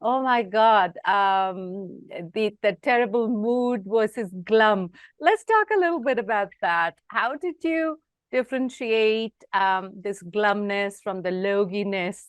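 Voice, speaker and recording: A woman's voice: medium pitch, lively intonation, no room sound. Woman from india hosting a talk show, lots of yeah and absolutely, dry close mic. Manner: warm and affirming, quick to agree. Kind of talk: casual interview talk.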